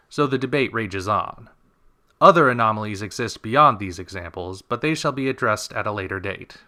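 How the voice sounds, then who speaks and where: A man giving a scripted, documentary-style narration in a measured, formal manner. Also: mid-range voice, pushed and bright.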